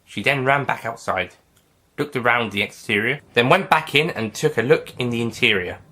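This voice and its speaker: A man narrating a fan-written story aloud in a plain, steady reading voice. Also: medium pitch, moderate pace. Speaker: narrator and writer.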